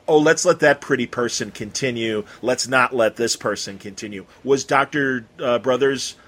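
Husky-voiced man hosting, rambling and effusive. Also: medium pitch, normal speed, fairly bright tone. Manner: effusive, rambling, stumbling over phrases. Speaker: interview host. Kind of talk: casual conversational interview.

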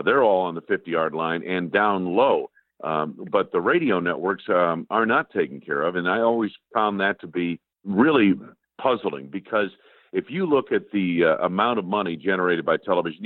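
Deep-voiced man on a tinny phone line. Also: no echo. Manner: conversational, anecdotal, many fillers. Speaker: radio play-by-play broadcaster, guest. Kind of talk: casual phone interview. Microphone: telephone.

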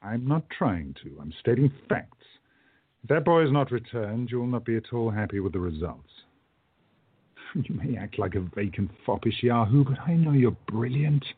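An actor playing a calm, protective time traveller in a radio play, speaking in a measured, firm way. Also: male voice, mid-range voice, slightly rough, dry recording. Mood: firm warning. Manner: measured, firm, hushed at times. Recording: narrow muffled phone-like audio.

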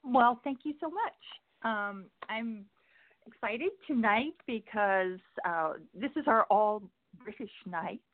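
A woman's voice: medium pitch, expressive, takes it slowly, no echo. A woman guest-hosting a radio interview, upbeat, reading introductions and asking questions. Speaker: guest host. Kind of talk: interview host. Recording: tinny phone line, dry.

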